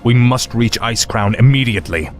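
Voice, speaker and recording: An actor playing a grim, arrogant fallen prince turned death knight in a video game, curt and commanding. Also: male voice, mid-range voice, a little fast, soft background music, natural voice. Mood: grim resolve. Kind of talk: video game cutscene acting.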